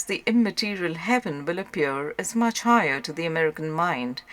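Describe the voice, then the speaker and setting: A woman reading a literary audiobook as narrator, in a measured, formal way. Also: deep voice, a bit bright.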